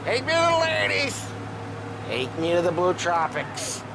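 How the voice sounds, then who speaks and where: A rambling drunk gangster. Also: male voice, high voice, huge pitch swings, a little slow, creaky, heavy background noise, heavily acted. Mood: rowdy. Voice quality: rambling, slurred. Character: drunk, sentimental gangster, man. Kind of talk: video game cutscene acting.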